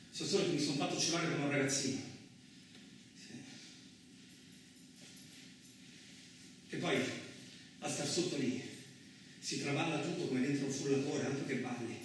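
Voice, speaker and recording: A man acting in a radio play as a gruff, crude truck driver who tells his story in blustering, rambling, colloquial speech. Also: medium pitch, a little fast, pauses often, natural voice. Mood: rueful, reflective. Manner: blustering, rambling, conversational, mildly swearing. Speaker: actor in a solo radio play. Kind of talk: colloquial theatrical radio monologue. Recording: roomy, echoing distant miking with faint noise.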